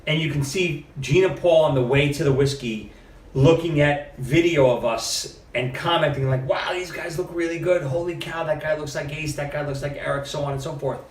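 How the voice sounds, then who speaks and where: A middle-aged man, a podcast guest, telling stories in a casual, animated way and acting out what other people said. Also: a little high, normal speed. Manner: animated storyteller, quotes others with lively shifts. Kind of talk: casual storytelling interview. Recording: roomy, mic at a distance.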